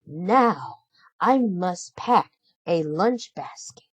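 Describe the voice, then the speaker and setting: A woman voicing a sweet, cheerful, dutiful young heroine in a storybook, gentle and wishful in manner. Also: on the low side, animated, very slow, breathy edge, no echo, exaggerated voice. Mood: happy hopeful. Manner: gentle, bright-hearted, wishful.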